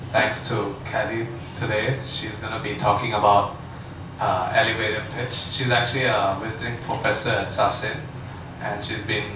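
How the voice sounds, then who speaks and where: A man's voice: fairly low pitch, noisy background. Hesitant man introducing a speaker. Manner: hesitant, many fillers. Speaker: event host introducing a speaker. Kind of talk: informal introduction. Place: large echoey lecture room. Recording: echoey room recorded from far off.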